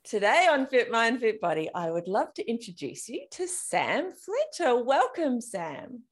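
A woman's voice: fairly high pitch, huge pitch swings, unhurried. An Australian woman hosting a podcast, chatting casually and informally as an interviewer. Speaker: podcast host.

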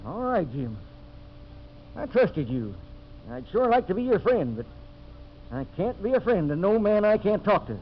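An actor playing a hurt, loyal American sidekick, folksy and plaintive, in 1950s radio drama style. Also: male voice, high voice, very animated, unhurried, pauses often, a little hiss or hum. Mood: hurt, reproachful. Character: wounded, loyal male sidekick breaking off friendship. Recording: muffled 1950s radio recording.

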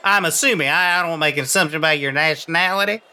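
A man's voice: on the high side, pushed and bright, voice pushed down. A man playing a touchy chatty barista. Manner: chatty, quick to take offense. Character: touchy barista proud of his heritage.

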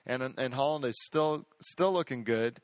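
A man hosting a podcast in casual chat, boastful and excitable, rambling with lots of restarts. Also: mid-range voice, normal speed, no echo. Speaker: podcast host.

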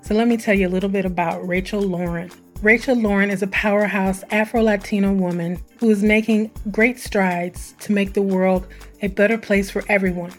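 A woman hosting her own podcast, her voice hoarse and scratchy, talking in an animated, conversational way and drawing out words for emphasis. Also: medium pitch, normal speed, soft background music. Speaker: podcast host. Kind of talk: solo podcast intro.

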